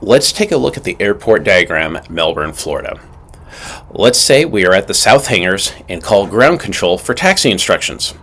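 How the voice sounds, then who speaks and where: Male instructor, lower register, lively intonation, scripted and methodical teaching read with clear emphasis. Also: normal speed. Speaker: aviation instructor. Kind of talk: scripted instructional narration with acted radio call examples.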